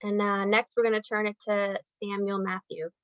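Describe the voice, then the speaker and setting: A woman's voice: mid-range voice, level tone, normal speed, no room sound. A woman moderating a webinar panel, introducing speakers and reading out poll results matter-of-factly. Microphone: video call. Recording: dull dry video call audio.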